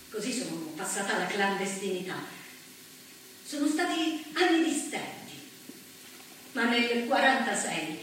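A woman's voice: a little high, fairly bright tone. An actress playing a proud, steadfast antifascist socialist woman in a solemn, declamatory stage monologue. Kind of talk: theatrical monologue, declaimed first-person testimony. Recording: echoey, distant stage recording, faint noise.

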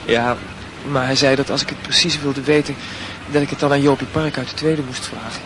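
A man playing a touchy, lovesick secondary-school student in a radio drama, speaking haltingly and repeating words. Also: medium pitch, steady tone, lightly acted. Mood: insistent. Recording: noisy radio drama recording.

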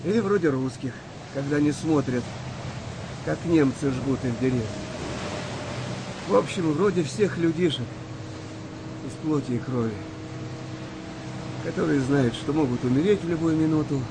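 An actor playing a seasoned, philosophical British sailor in a Second World War audio play, talking in a colloquial, reflective way. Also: male voice, on the high side, moderate pace, long pauses, noisy background, lightly acted. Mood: somber, musing. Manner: colloquial, rough-spoken, reflective.

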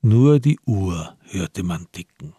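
A man narrating a radio drama from a script in a measured, grave manner with sparse phrasing. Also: sits low, animated, mellow, husky, no echo, studio quality.

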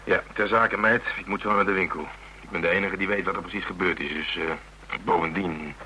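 An actor with a Netherlands Dutch accent playing a gruff, pragmatic older man in a radio drama, terse and dry. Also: low-pitched, fairly even intonation, a little fast, pushed and bright. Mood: matter-of-fact. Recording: noisy, humming radio play recording.

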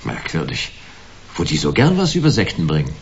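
An actor playing a wry, world-weary hard-boiled private detective in a German radio play, laconic and dry. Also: male voice, a bass voice, huge pitch swings, a little fast, gravelly, breathy edge, lo-fi sound, heavily acted. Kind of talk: German radio play noir acting and first-person narration.

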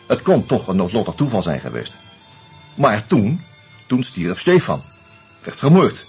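An actor playing an earnest, troubled man turned amateur sleuth in a Dutch radio play, insistent and halting as he reasons aloud. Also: fairly low pitch, huge pitch swings, a little mellow, slightly rough, faint music behind, full character voice. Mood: troubled, explaining. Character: earnest, agitated amateur sleuth, a murdered man's loyal friend.

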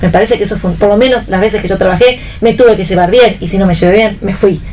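A woman's voice: mid-range voice, fast, darkish tone. A woman with an Argentine accent chatting casually in a panel discussion. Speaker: radio talk show panellist. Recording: distant from mic, noisy room ambience.

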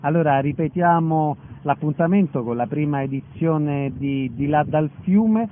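Higher-voiced man on phone-like radio. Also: a little slow. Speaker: radio interviewer. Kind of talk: broadcast interview. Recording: phone-like thin radio sound, faint noise.

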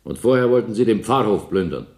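Stern low-voiced presiding judge. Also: male voice, expressive, brisk, darkish tone. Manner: formal, interrogating. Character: stern, brisk presiding judge, formal, authoritative. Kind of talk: German radio play courtroom acting. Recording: echoey 1970s radio drama studio.

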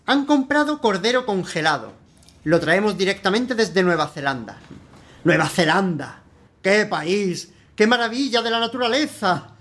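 A man's voice: high-pitched, animated, moderate pace, fairly bright tone. A man performs an unctuous supermarket salesman in a radio drama. He has an accent from Spain and a theatrical, over-polite sales patter, always gushing and eager to impress the customer. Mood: rhapsodic. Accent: Spanish from Spain. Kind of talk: radio play acting.

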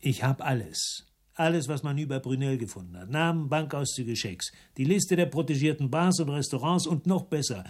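An actor playing a tough, defiant investigator in a radio crime drama, curt, commanding and unflinching. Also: male voice, medium pitch, expressive, normal speed, darkish tone, close to an everyday voice. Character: tough, defiant male investigator confronting gangsters.

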